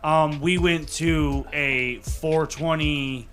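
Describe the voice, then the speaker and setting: Slightly high-voiced man in casual chat. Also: steady tone, takes it slowly, fairly bright tone, faint music behind. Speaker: rapper.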